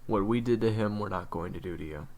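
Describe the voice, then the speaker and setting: A man playing a jaded, blunt monster hunter in an audio roleplay, speaking frankly and earnestly to a rookie, with profanity and halting delivery. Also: on the low side, a little mellow, lightly acted. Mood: earnest, weary. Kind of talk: dramatic audio roleplay monologue.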